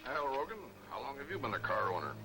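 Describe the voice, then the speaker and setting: A man playing a suspicious, brisk, matter-of-fact police detective in a 1940s movie serial. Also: medium pitch, big pitch swings, creaky. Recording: old 1940s film soundtrack with music.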